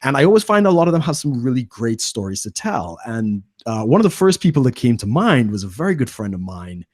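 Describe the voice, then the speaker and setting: A man's voice: mid-range voice, very animated, brisk, a little mellow, no echo, clean studio sound. Friendly man hosting a home podcast. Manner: friendly, rambling questions with fillers. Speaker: host. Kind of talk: casual interview. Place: small room at home. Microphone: studio mic on boom arm, headphones.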